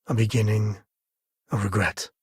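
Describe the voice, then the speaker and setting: A man playing an easygoing space-frontier ranger and devoted dad in a video game, with a husky, slightly rough voice and a folksy, wry manner. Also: lowish voice, steady tone, moderate pace, a little mellow, no echo, studio quality. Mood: heartfelt. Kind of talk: video game character dialogue.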